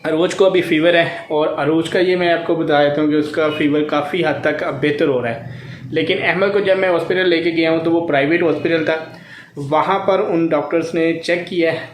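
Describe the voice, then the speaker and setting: A man, likely in his thirties, talking casually to camera as a family vlogger, explaining things and repeating his advice. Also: a little high. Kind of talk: casual vlog talk to camera. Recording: echoey room sound, clear background noise.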